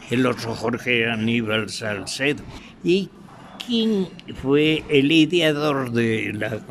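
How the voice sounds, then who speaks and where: An older man with an Ecuadorian accent, a veteran radio actor reminiscing in an interview, haltingly searching for his words. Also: mid-range voice, vocal fry.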